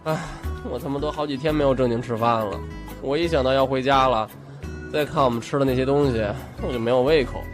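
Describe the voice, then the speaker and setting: A man's voice: medium pitch, fairly even intonation, quick pace, close to an everyday voice. An actor playing a petulant, food-loving young male friend who blusters and whines in slangy comic audio drama bickering. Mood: sulky, hungry. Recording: music loud under the voice.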